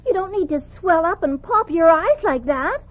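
A woman's voice: very high-pitched, animated, normal speed. An actress playing a loyal, indignant woman of a royal household, scolding theatrically in a radio fairy tale. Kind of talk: old-time radio fairy tale acting. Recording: thin, tinny old radio recording.

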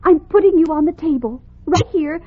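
Very high, girlish princess. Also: animated, normal speed. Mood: reluctant, disgusted. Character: girlish, emotional, pampered young fairy-tale princess. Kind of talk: old-time radio fairy tale acting. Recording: thin, tinny old radio recording.

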